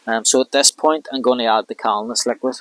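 A man in his thirties, a fishing vlogger, calmly explaining each step of his rig while working outdoors by a lake with a clip-on mic. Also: mid-range voice, fast talker, dry recording. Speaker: carp angler vlogger. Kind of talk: casual how-to commentary.

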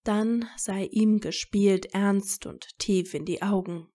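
Woman reading a story out loud, takes it slow, mellow voice. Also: mid-range voice, steady tone. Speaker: narrator. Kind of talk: scripted story narration.

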